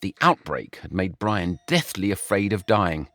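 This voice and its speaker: A man reading a short story aloud in an expressive, wry manner. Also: low voice, unhurried, no room sound. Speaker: audiobook narrator. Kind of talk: scripted story narration.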